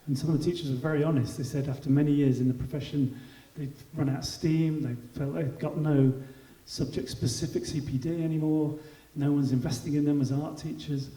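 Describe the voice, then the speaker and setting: A man's voice: a little high, fairly even intonation, fairly quick, hardly any pauses, dark tone. A British man on a panel speaking in an earnest, conversational way. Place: large hall. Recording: echoey hall.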